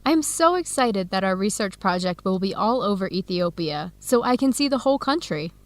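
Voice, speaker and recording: An actress with an American accent playing an eager, friendly researcher abroad in an educational radio drama, speaking with enthusiasm. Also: female voice, medium pitch, animated, normal speed, no room sound, close to an everyday voice. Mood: excited, pleased. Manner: enthusiastic, encouraging. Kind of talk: radio drama acting, clear educational dialogue.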